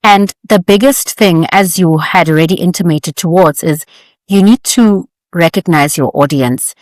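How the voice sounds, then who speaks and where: A woman lawyer answering interview questions in a measured, articulate, formal way. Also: fairly low pitch, a little mellow, no room sound. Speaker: guest lawyer.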